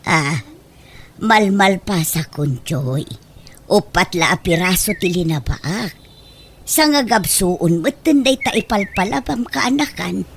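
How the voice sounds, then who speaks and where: A woman's voice: on the low side, expressive, slow. A woman playing a meek, long-suffering older aunt in a radio drama, with a Filipino accent. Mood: weary, aggrieved. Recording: noticeable background noise.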